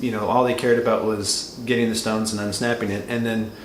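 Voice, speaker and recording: A man's voice: lowish voice, level tone, brisk. A man co-hosting a movie review, talking casually and unscripted, often rephrasing as he goes. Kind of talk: casual unscripted film discussion. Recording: roomy echo, light background noise.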